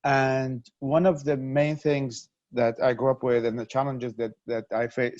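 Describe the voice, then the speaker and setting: A man's voice: medium pitch, a little mellow, dry recording. A man from Iraq speaks with earnest focus in an ordinary mid-range voice, circling back and repeating words as he builds each point. He sits close to his mic with no room sound. The video call audio is dull and lo-fi. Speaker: interview guest, activist. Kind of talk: conversational interview answers.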